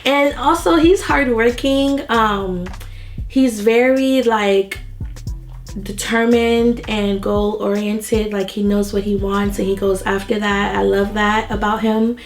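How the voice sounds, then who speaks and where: A young woman co-hosting a casual couple's question-and-answer video, chatty and quick to laugh, still getting over a cold. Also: mid-range voice, faint music behind. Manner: chatty, laughs often, lively. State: recovering from a cold. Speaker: vlog co-host.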